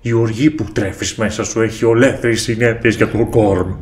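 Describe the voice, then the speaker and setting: A man voicing an ancient wise sage in a cartoon, giving slow, solemn counsel. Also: on the low side, fairly even intonation, a little mellow, a little hiss or hum, natural voice. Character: ancient wise sage spirit, calm and grave.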